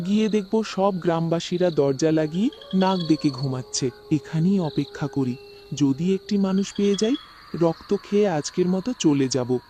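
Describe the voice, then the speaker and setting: A man's voice: fairly high pitch, normal speed, dark tone, slight background noise, close to an everyday voice. A man voicing a scheming, bloodthirsty witch in a horror cartoon, brazen and threatening. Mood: scheming, hungry. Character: bloodthirsty scheming witch haunting a coconut tree, menacing. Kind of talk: Bengali horror cartoon voice acting.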